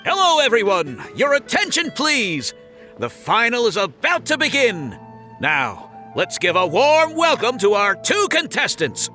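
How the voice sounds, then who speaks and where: An actor playing an excited male tournament announcer in a video game, projecting in a showy way. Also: extremely high pitch, unhurried, heavily acted. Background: faint music.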